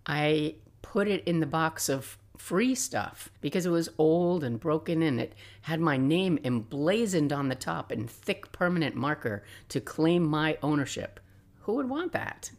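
Low-voiced woman in her sixties telling stories. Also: lively intonation, unhurried, a little mellow. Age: 60s. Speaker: podcast host and storyteller. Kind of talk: personal memoir storytelling, scripted narration.

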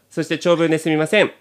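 A man's voice: fairly high pitch, fairly even intonation, normal speed, clean tone. A man hosting a podcast, chatting casually and reading out listener letters.

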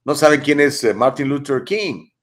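A man's voice: mid-range voice, lively intonation, normal speed. A man, hosting a live show and commenting on viewer messages with emphatic rhetorical questions. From Mexico. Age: 50s. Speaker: talk show host.

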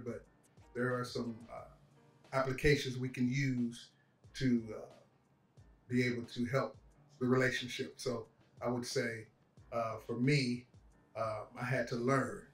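Older man speaking slowly and haltingly. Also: medium pitch. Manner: measured, with frequent ums and pauses. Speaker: family guest, father. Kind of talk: casual podcast chat. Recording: roomy, distant mic, faint music.

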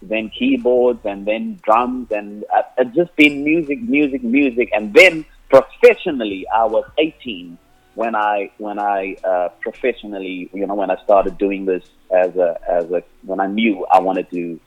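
A man's voice: on the low side, measured pace, narrow, tinny audio. A man, an Afrikaans speaker telling his story as a musician guest in a rambling way, full of restarts. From South Africa, Cape Flats. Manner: rambling, many restarts, laughs often. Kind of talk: casual interview answers.

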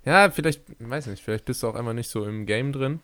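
A man's voice: medium pitch, a little fast. Dry-humored young man chatting. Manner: dry, relaxed, wry jokes. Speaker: podcast co-host. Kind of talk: casual chat.

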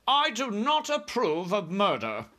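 Guy doing a regal queen for a storybook, high voice, slow n decisive, very firm. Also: wildly swooping pitch, pushed and bright, heavily acted. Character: composed, commanding queen, firm and principled. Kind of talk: storybook character voice.

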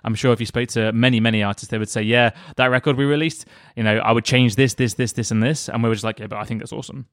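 A man co-hosting a relaxed music talk show, musing with lots of fillers and restarts. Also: medium pitch, fast, few pauses, dry recording, studio quality. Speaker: podcast co-host. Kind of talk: relaxed conversational chat.

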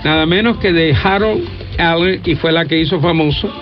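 A man's voice: a little high, moderate pace. A man hosting a casual radio interview, chatty and dropping English phrases into his talk. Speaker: radio host. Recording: dull lo-fi radio audio with music behind.

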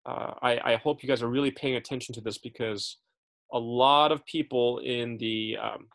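A man's voice: mid-range voice, fairly even intonation, moderate pace, creaky, fairly bright tone. A man teaching a Bible study in a casual, chatty way, often checking in with his listeners and correcting himself as he goes. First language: English. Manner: frequent fillers and self-corrections.

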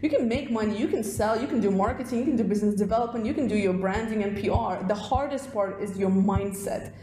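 A young woman from Russia, a podcast guest talking in quick, animated bursts with plenty of fillers. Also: medium pitch, roomy sound. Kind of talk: casual interview chat.

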